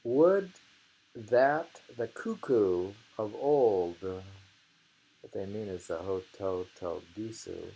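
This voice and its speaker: Slow-talking man with a gravelly low voice. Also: dark tone, poor audio. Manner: slow, musing, often repeats lines deliberately. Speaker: host reading a poetry book aloud. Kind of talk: casual reading and discussion of poems.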